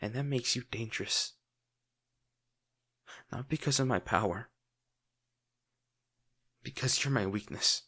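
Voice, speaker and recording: A man playing a lovesick, protective villain in an intimate, brooding audio roleplay, speaking earnestly with dramatic pauses. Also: medium pitch, sharp tone, husky. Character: lovesick male villain, brooding, protective, torn over wounded hero.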